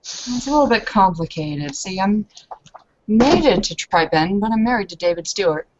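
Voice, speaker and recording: A woman's voice: medium pitch, expressive, normal speed, a little hiss or hum. Woman gamer on a dull recording. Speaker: tabletop game player. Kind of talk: casual in-character chat. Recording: dull, lo-fi group session recording.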